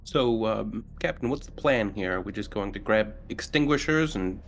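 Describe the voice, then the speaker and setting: A man's voice: on the low side, lively intonation, moderate pace. A man playing a tabletop roleplaying game, talking casually as he questions and discusses the plan. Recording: faint background noise.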